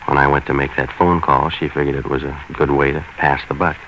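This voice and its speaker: Very deep-voiced hard-boiled radio detective. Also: male voice, a little fast. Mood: dry, matter-of-fact. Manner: terse, slangy, deadpan. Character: hard-boiled male private investigator, world-weary and cynical. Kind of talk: 1940s radio noir narration. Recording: muffled, hissy 1940s radio recording.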